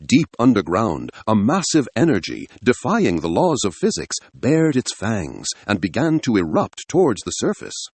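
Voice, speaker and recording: A man's voice: lowish voice, huge pitch swings, normal speed, hardly any pauses, darkish tone, breathy edge, no echo. A man narrating a scripted adventure story in a dramatic style.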